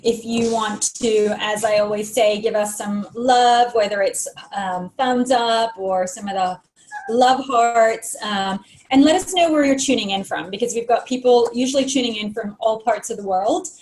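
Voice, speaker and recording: A woman's voice: on the high side, moderate pace, darkish tone, roomy sound, a little hiss or hum, rough recording. A woman co-hosting a live stream, friendly and organized as she runs through the outline, with some ums. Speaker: co-host.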